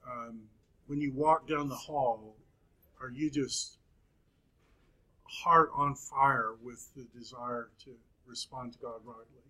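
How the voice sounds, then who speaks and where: A man in his fifties teaching a Bible class, speaking slowly with frequent pauses and fillers. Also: medium pitch, steady tone, pushed and bright, poor audio. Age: 50s. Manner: slow, halting, many fillers and pauses. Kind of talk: classroom lecture.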